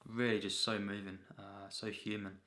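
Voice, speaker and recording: A man giving an unscripted, rambling film review as a reviewer, in a halting, repetitive way full of filler phrases. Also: fairly low pitch, level tone, pushed and bright.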